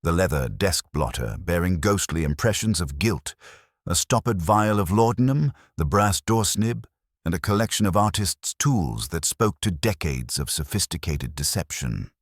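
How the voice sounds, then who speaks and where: A man's voice: low voice, animated, moderate pace, no room sound, studio quality. A man narrating a scripted mystery story in a solemn, literary and theatrical manner. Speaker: audiobook narrator.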